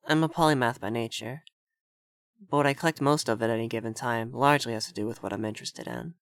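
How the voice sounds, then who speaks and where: A very dry, intimate recording of a man acting as an effeminate vampire lord in an ASMR roleplay. He is haughty and prim, giving orders to the listener with tsundere fluster. His voice sits at a medium pitch. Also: fairly bright tone, dry recording, natural voice. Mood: proud.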